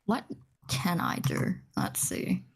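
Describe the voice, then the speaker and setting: A woman chatting casually as a player in a tabletop role-playing game, hesitant and thinking aloud. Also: takes it slowly.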